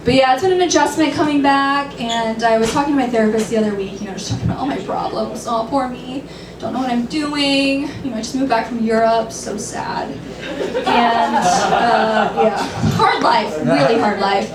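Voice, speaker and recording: A woman in her thirties doing a dry, self-deprecating stand-up set, sometimes shrill and laughing at her own jokes. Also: moderate pace, crowd noise. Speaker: stand-up comedian. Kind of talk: stand-up set at an open mic. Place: comedy club stage. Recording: roomy, distant, noisy club recording.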